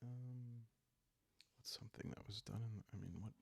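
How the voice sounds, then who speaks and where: A man with a low, gravelly voice talks through a solo roleplaying game in a calm, soft-spoken way. He muses and pauses as he makes up the story, in a very dry, close sound. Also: dry recording. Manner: soft-spoken, calm, musing, halting as he thinks aloud. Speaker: solo roleplayer and show host. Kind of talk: calm, improvised narration of a journaling game for relaxation.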